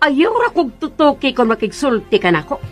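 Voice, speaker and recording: A woman's voice: high-pitched, very animated, normal speed, faint music behind. A woman playing a haughty, menacing mistress who gives imperious orders in a Cebuano radio drama. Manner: imperious, threatening, commanding.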